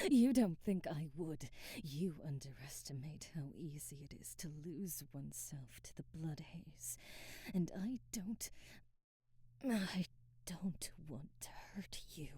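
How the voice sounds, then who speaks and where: Woman as breathy, low-voiced seductive vampire. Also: no room sound, studio quality, heavily acted. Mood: conflicted, warning. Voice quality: soft, breathy, often dropping to a whisper. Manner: slow, formal diction with teasing, halting restraint. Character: seductive, aristocratic female vampire, restrained but hungry. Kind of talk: intimate ASMR roleplay, much of it whispered. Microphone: close, dry microphone.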